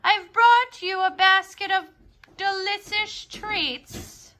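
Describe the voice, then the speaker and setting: A woman voicing a tricky, hungry old male wolf in a storybook tale, coaxing slowly with false sweetness. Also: very high voice, steady tone, pushed and bright, pitched above the performer's own voice, exaggerated voice. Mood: falsely sweet.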